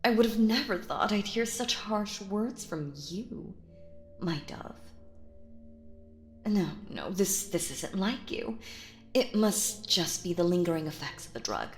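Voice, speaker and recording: A woman acting out a sinister, possessive stage magician in an intimate audio roleplay, cooing endearments theatrically and slipping into breathy, menacing whispers. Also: mid-range voice, expressive, takes it slowly, echoey room, a little hiss or hum. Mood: soothing, possessive.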